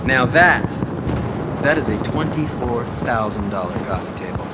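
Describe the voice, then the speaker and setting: A man's voice: medium pitch, lively intonation, moderate pace, very noisy, natural voice. An actor playing a chatty, pretentious heist crewman in a video game, wry and fussy, with a glib way of talking. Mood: smug, wry. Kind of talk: video game dialogue acting. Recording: loud music over the voice.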